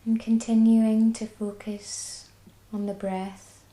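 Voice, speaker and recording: A woman with a Scottish accent guiding a body scan meditation, speaking slowly and soothingly. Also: a little high, level tone, roomy sound, poor audio. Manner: slow, soft and soothing. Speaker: mindfulness guide.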